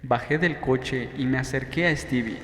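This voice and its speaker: A man's voice: medium pitch, normal speed, a bit bright. A man narrating a novel in a scripted audiobook style, with a reverberant sound and faint noise behind. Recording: echoey, long reverb, faint background noise.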